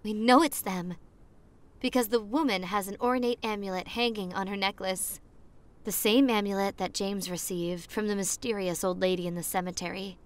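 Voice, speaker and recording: A young woman playing a popular college girl on a spooky romantic date, in an ASMR roleplay with a hushed, dreamy manner and dramatic pauses. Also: medium pitch, fairly even intonation, lightly acted. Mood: dreamy, storytelling. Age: young adult.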